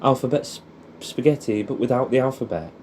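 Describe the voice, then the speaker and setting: Mellow-voiced English man reminiscing casually. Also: mid-range voice, expressive, brisk, a little hiss or hum. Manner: rambling, nostalgic, swears freely, tags questions onto statements. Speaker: audio creator. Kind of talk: casual intimate reminiscing addressed to an old friend.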